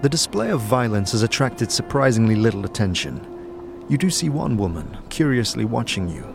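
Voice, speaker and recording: A man's voice: mid-range voice, big pitch swings, brisk, vocal fry. A man narrating a fantasy audio drama in dramatic, scripted second person, as a storyteller. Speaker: narrator, game master. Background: music bed.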